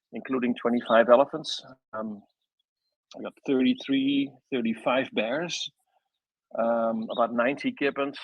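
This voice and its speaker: Middle-aged guy talking slow and loose on a dull, dry remote line. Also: medium pitch, no room sound. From Netherlands. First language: Dutch. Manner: unhurried and loosely structured, with hesitations. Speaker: guest, wildlife rescue founder. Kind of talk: online audio chat.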